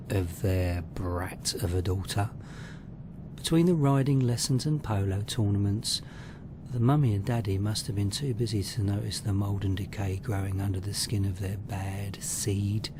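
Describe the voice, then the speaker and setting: Man acting a sardonic horror host, rumbling backdrop. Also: background noise, lightly acted. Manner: mock-sympathetic, macabre, theatrical. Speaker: narrator and host. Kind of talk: scripted horror narration.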